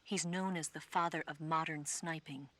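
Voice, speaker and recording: Low-voiced, confident female spy. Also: fairly even intonation, moderate pace, fairly bright tone. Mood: cool, urgent. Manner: assured, matter-of-fact. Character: cool, confident female spy briefing an agent. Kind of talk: video game radio-call dialogue acting.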